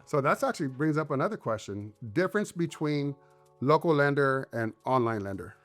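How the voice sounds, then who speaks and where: A man's voice: a little high, expressive, a little fast, fairly bright tone, soft background music. A man hosting a casual talk show, asking questions in an upbeat, easygoing way. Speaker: real estate agent, host. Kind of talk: casual interview chat.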